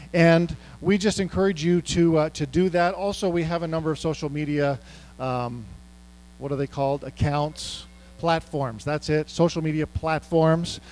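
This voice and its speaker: A man's voice: a little high, a little mellow, slight background noise. A middle-aged man speaking as a guest ministry speaker to a church, chatty and self-deprecating with many fillers. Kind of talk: casual church talk. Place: church sanctuary.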